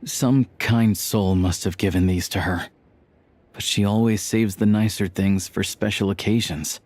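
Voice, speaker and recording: A man playing a patient, caring character in a video game, speaking gently and earnestly. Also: on the low side, steady tone, a little slow. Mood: reflective, wistful. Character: patient, caring man who looks after an old woman. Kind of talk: video game voice acting, dialogue and exposition. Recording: background music under the dialogue.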